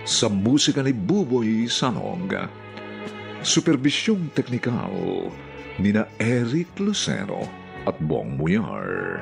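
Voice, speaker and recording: A man's voice: lowish voice, huge pitch swings, slow, vocal fry. A man announcing a Filipino radio drama in a dramatic scripted style. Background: music under the voice.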